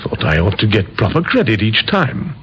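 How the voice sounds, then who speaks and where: Radio drama role: smug, cheerful madman killer, lively intonation, hissy old recording. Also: fairly low pitch, normal speed, muffled recording. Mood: gleeful pride. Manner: chatty, breezy, chuckling confessions. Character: cheerful, deranged male vigilante killer, smugly proud.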